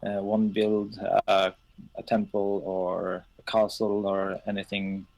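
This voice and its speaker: A man whose first language is Norwegian, co-owner of a game server, talking haltingly on a casual live stream with lots of fillers. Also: lowish voice, level tone, a little mellow, poor audio. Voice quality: gravelly.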